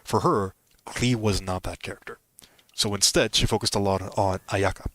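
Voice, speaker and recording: A man's voice: on the low side, fairly even intonation, normal speed, fairly bright tone, no room sound. A man streaming a video game, talking casually and explaining strategy, often rephrasing his points. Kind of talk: casual game commentary and advice.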